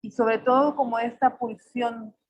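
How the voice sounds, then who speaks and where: A woman in her forties with a Salvadoran accent softened by Mexican tones, reflecting aloud as she finds her words. Her voice sits on the high side and comes through a slightly muffled video call line. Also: steady tone, a little slow. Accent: Salvadoran Spanish mixed with Mexican. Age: 40s. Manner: thoughtful, searching for words with frequent fillers. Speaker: poet on a panel. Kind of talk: reflective personal talk.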